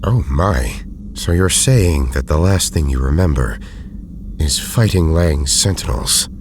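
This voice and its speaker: Casting: erudite, guarded mutant hero, rock-bottom gravelly pitch, formal diction, audible background noise. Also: male voice, lively intonation, a little mellow, exaggerated voice. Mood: stunned, relieved. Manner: formal, measured, articulate. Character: learned, cautious, formal male mutant hero. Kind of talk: audio drama acting.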